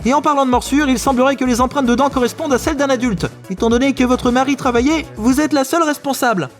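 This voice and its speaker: An actor playing an earnest, put-upon police lieutenant, a formal and deferential sidekick in a comedic audio drama. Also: male voice, very high voice, fast talker, faint music behind. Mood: triumphant.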